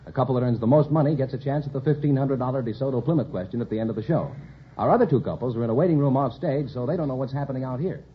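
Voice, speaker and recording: An American man working as a vintage radio quiz show's announcer, giving polite, clearly enunciated explanations. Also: medium pitch, very fast. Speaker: announcer and co-host. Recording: muffled old radio recording, audience noise. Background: studio audience.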